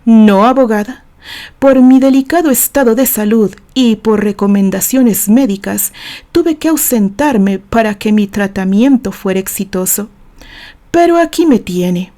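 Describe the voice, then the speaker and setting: A woman's voice: a little high, unhurried, mellow, lightly acted. A Mexican woman voicing a devoted, resolute wife who speaks in an earnest, composed way in an audio romance story. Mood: composed, firm.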